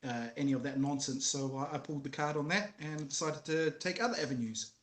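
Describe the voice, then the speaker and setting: Guest: New Zealand man in his thirties, slightly high voice, roomy call audio. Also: fairly quick. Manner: relaxed storytelling, joking asides. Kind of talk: casual interview. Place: small room at home, video call. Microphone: headset earphones, webcam.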